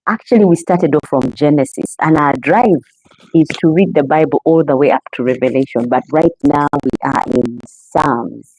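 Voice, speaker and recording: A woman's voice: sits low, no room sound, rough recording. A woman from Uganda, hosting a casual live-stream podcast and greeting viewers in a chatty, welcoming way. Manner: warm welcoming, chatty, talks straight to viewers. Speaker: podcast host.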